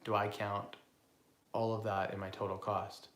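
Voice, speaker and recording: A man with a cold, hoarse, hosting a casual live-stream Q&A on investing and rambling as he answers viewers. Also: lowish voice, little pitch movement, measured pace, fairly bright tone, echoey room, slight background noise. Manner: rambling, self-correcting, repeats words. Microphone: phone built-in mic, no lapel.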